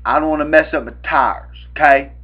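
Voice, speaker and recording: A man's voice: on the high side, a little fast, breathy edge. Rambling young Southern man, muffled sound. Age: young adult. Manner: rambling, repetitive, stammering. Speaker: prank caller. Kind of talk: improvised prank phone call. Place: small room at home, indoors. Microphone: webcam at arm's length. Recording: dull, lo-fi webcam audio.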